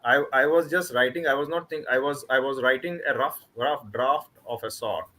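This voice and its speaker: A man's voice: mid-range voice, sharp tone. A man from Kashmir with an Indian accent, an author chatting casually and often restarting his sentences. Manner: halting, with many restarts and repeated words. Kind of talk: casual online book club chat.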